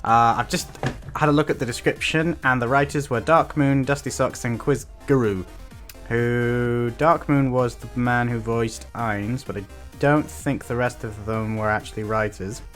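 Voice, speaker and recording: A man's voice: mid-range voice, fairly even intonation, normal speed, a bit bright, soft background music. A man hosting a reaction video with casual commentary.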